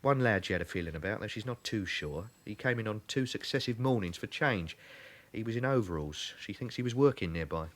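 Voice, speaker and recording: Radio crime drama: British plainclothes policeman, lowish pitch, clipped factual reporting, businesslike. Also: animated, a little fast, few pauses, a bit bright. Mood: terse, businesslike. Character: brisk, businesslike London male police detective. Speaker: police detective.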